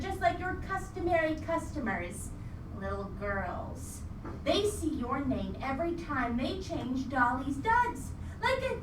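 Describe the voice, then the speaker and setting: Woman playing a high-pitched, sweetly menacing vengeful doll. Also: noisy background. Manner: theatrical, saccharine, taunting, wordy. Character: vengeful female cabbage patch doll, sweetly menacing vigilante. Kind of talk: live stage radio play acting. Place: live theatre stage performance. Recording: roomy live stage, distant mic, music.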